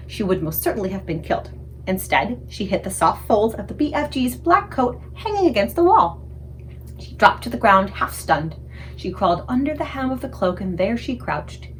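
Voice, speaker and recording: A woman narrating a children's storybook aloud in an expressive, scripted read-aloud style. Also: medium pitch, normal speed, a little hiss or hum, poor audio.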